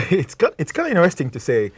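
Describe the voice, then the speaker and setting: A man in his thirties from Zambia, a singer-songwriter guest talking casually in a TV studio, animated and quick to laugh. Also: fairly high pitch, husky, no echo. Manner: animated, laughs often, repeats words. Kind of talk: casual interview talk. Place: TV studio set.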